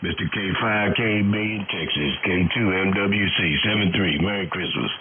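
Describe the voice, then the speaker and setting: A man calling in over amateur radio, chatting casually in a folksy, halting way and repeating phrases. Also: low-pitched. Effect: radio transmission. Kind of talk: casual ham radio chat. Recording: very noisy narrowband ham radio signal.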